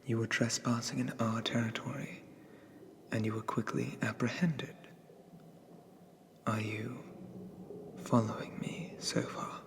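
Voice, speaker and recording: Slow, whispering sadistic dark elf played by a man. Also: mid-range voice, level tone. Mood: condescending. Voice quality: breathy, half-whispered. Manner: slow, deliberate, softly menacing, haughty. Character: sadistic male dark elf captor, coldly condescending. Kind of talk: whispered ASMR roleplay.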